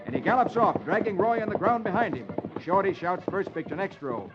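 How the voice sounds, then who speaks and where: Man, high lively voice, dramatic children's storyteller, muffled old radio recording, music underneath. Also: fairly quick. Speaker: host and narrator reading comic strips to a child. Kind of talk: 1950s children's radio narration.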